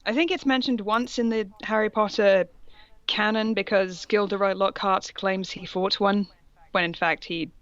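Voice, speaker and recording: A woman's voice: medium pitch, fairly even intonation, normal speed, no room sound, muffled recording. A woman co-hosting a comedy show, casually reading fan fiction aloud and commenting on it. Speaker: co-host reading the female parts.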